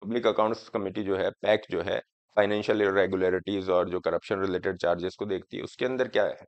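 Hoarse man teaching a class. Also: sits low, fairly even intonation, no room sound. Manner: explaining slowly, often asking students if it is clear. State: hoarse throat from a cold. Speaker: exam coaching teacher. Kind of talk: lecture on current affairs, Hindi mixed with English. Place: studio classroom, in front of a screen. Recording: dry, slightly dull sound.

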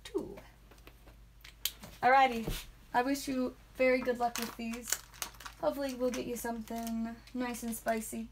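A woman hosting a live card pack-opening stream, chatting playfully and saying sound effects aloud, with a calming voice. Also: a little high, unhurried, pauses often, background noise. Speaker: trading card stream host. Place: indoor room with whiteboard.

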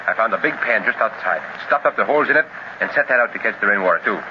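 Casting: a man playing a polite adventurer, lively rising and falling delivery, hissy old radio sound. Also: lowish voice, rapid-fire, muffled recording, close to an everyday voice. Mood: steady. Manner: formal, courteous phrasing. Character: Carlos, Latin adventurer, resourceful and calm. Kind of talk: 1930s radio acting. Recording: scratchy old radio transcription with hiss.

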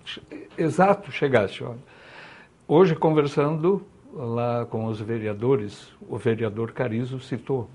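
Gravelly, slow-spoken guest. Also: male voice, mid-range voice, darkish tone. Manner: slow, deliberate explanations. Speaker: guest, charity president. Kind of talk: interview answers. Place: TV studio set, indoors.

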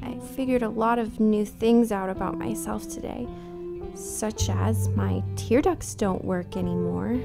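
Woman as slow-speaking young undead girl. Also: medium pitch, steady tone, vocal fry, loud music behind the voice. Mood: subdued. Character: distraught young undead girl, casual and vulnerable. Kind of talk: audio horror drama acting.

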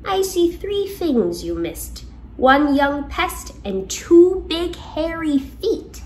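A haughty witch shopkeeper from a storybook, put on by a woman, menacing and imperious. She scolds in a high, slow, very animated voice. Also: full character voice. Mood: stern.

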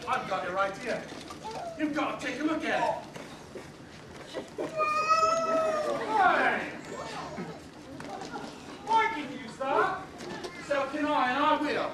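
A man playing a rough, boastful thief on a theater stage, recorded from far back in an echoey hall. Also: extremely high pitch, full character voice. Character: crafty lower-class thief boasting of loot. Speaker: stage actor. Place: large theater stage, heard from the audience. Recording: echoey hall, distant camera mic, noisy.

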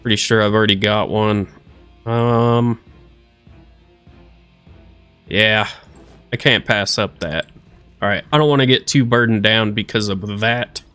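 A man's voice: fairly low pitch, bright, forceful tone, clear, resonant tone. A man in his thirties casually narrating a survival game as he plays, talking to himself in a goofy, playful way. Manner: goofy, talks to himself, playful silly voices. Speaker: let's play streamer. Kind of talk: casual gameplay commentary.

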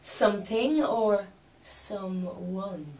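A woman playing a bossy, teasing, quick-witted private investigator in a comic radio drama. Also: mid-range voice, a little mellow, distant mic, poor audio. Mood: brisk, businesslike. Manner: assertive, teasing. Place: room in a large private home.

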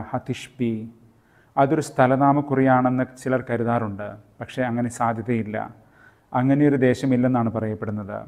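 A man, a friar and podcast host, giving a scripted Bible reflection in measured, literary phrasing. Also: medium pitch, darkish tone.

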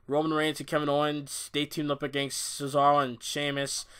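Bright, ranting, foul-mouthed male reviewer. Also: fairly high pitch, completely flat delivery, moderate pace, smooth. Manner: blunt, swears a lot, ranting and exasperated. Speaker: wrestling show reviewer. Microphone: off-camera voice-only recording.

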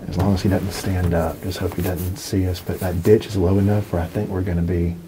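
A man's voice: low voice, flat delivery, fairly quick, noisy background. A young man hosting a hunting show, talking his plan through in a plainspoken, easygoing way from inside a small blind. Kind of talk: casual on-camera hunting talk.

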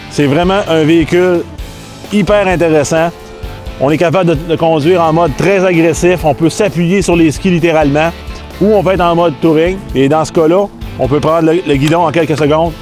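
A man's voice: fairly high pitch, darkish tone. A middle-aged man with a Québécois French accent hosting a snowmobile review, chatty and enthusiastic, with small restarts. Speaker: snowmobile test host. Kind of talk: casual review and interview.

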